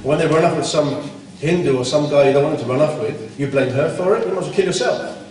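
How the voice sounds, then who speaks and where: A man's voice: on the high side, fast, darkish tone, distant mic. Scolding man in an echoey hall. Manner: blunt, scolding, rhetorical. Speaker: conference speaker. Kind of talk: Islamic talk. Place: conference hall.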